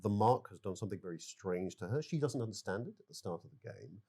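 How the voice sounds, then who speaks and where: A man explaining a game as its developer in an interview, halting and repeating words as he goes. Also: lowish voice, animated, gravelly, dark tone.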